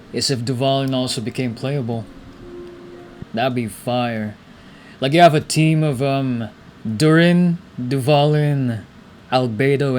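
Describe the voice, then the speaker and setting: A man's voice: mid-range voice, a little mellow. Casual slangy man reacting to a game. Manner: slangy, laid-back talk. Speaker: video game streamer. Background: video game music.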